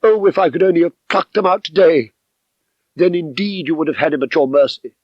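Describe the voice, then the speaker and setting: A man's voice: fairly high pitch, brisk, no room sound, dull audio, voice pushed up, close to an everyday voice. A man voicing an anguished, bitter woman, an abused wife, with impassioned melodrama in an audiobook story. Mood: vengeful, fervid.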